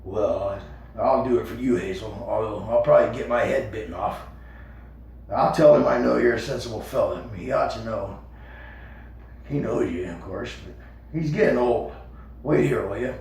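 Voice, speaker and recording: A man's voice: lowish voice, moderate pace, gravelly, a little mellow. A man voicing a big, brusque male guard rabbit who speaks bluntly. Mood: gruff, grudging. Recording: echoey room, far from mic.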